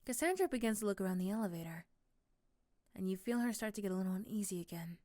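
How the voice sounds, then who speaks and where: A woman narrating a scripted ASMR romance in the second person, softly and often dropping to a whisper. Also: medium pitch, animated, fast talker, dry recording, clean studio sound.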